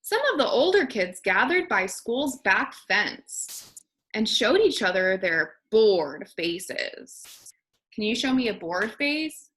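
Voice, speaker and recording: Animated, slow-paced woman reading a storybook. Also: mid-range voice. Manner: deliberate, addresses young listeners. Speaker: kindergarten teacher reading aloud. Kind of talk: expressive children's storybook read-aloud.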